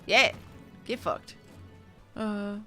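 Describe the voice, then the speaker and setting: Bright-voiced woman streaming a game. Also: mid-range voice, steady tone, extremely slow, faint music behind. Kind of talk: casual playthrough commentary.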